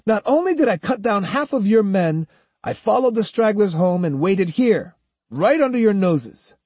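A man's voice: sits high, expressive, normal speed, a little mellow, no room sound, pitched above the performer's own voice. A haughty, sneering swordsman as a man narrating an audiobook acts him out. He speaks in a raised, boastful voice, lecturing and taunting with pointed questions. The sound is close and dry. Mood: scornful, triumphant. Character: arrogant swaggering master swordsman, boastful and sneering.

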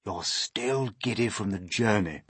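A man's voice: on the low side, expressive, unhurried, fairly bright tone, breathy edge, no room sound, heavily acted. A man voicing a kindly old male grasshopper, hopeful and reassuring, in a children's storybook reading. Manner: encouraging, hopeful.

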